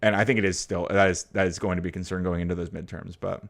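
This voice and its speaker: Deep-voiced American man riffing on stream. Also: level tone, fast talker, gravelly. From United States. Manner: rambling and hesitant, full of fillers and restarts; blunt and profane when riled. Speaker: livestream host. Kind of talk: casual political chat, reading viewer comments.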